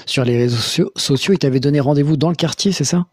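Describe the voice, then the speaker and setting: A man hosting a live call-in show who asks short follow-up questions and comments in a measured way, with many fillers. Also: on the high side, breathy edge, no echo, studio quality. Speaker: live stream host.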